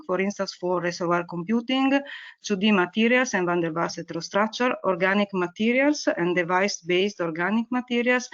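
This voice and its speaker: Halting non-native woman lecturer, dull audio. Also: mid-range voice. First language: not English. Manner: halting, with frequent hesitations. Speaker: researcher presenting. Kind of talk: technical webinar lecture with slides. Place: online webinar. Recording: dull, muffled webinar audio.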